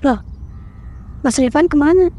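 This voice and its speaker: A woman's voice: high voice, lively intonation, unhurried, mellow, slight background noise. A woman voicing a worried, polite young wife in a horror cartoon. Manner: anxious, polite, questioning.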